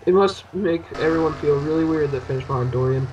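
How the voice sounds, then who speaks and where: A man's voice: fairly high pitch, fast, a little mellow, lo-fi sound. A young man adding casual, joking color commentary to a simulated race broadcast. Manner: joking, offhand remarks. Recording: distant mic with faint noise.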